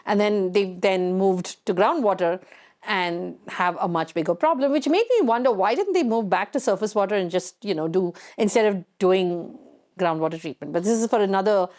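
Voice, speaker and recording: A woman's voice: mid-range voice, moderate pace, a little mellow. A woman hosting a conversational technical interview, inquisitive and thinking aloud as she frames her questions. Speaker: interviewer, host.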